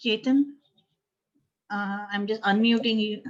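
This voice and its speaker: A woman's voice: mid-range voice, fairly even intonation, measured pace. A woman acting as technical coordinator on a video call, giving practical instructions and checks. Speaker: online meeting technical coordinator. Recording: slightly dull video-call audio.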